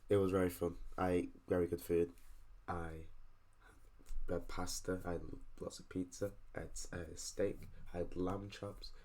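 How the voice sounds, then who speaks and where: A young man from England with a very deep, low voice talks in an easygoing, rambling way. He sounds tired, and he keeps restarting his sentences as he tells a story on a casual podcast. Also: level tone, moderate pace, a little mellow. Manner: rambling, laid-back storytelling with frequent restarts. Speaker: podcast host. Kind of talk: casual chat.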